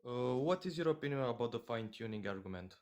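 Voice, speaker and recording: A man from Romania, a non-native English speaker with a Romanian accent, calling into a debate and talking in halting phrases full of fillers. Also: mid-range voice, lively intonation, a little mellow. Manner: halting, hesitant, many fillers. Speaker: caller.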